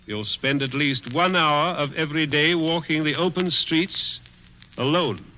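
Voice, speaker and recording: A man's voice: fairly high pitch, a little slow, close to an everyday voice. An actor playing a cruel, dying rich man who taunts with sly chuckles in a 1940s radio drama. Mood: menacing. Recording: dull old radio broadcast.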